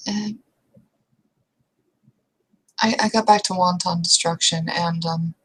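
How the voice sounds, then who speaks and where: A woman playing an anxious young female restaurant manager in a roleplay, speaking in a hesitant, halting way. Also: lowish voice, fairly even intonation, a bit bright, breathy edge, full character voice. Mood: hesitant, uneasy. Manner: hesitant, halting, fragmented speech.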